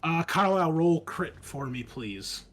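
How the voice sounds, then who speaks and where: Higher-voiced man running a tabletop horror game. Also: animated, a little slow, fairly bright tone. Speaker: game master.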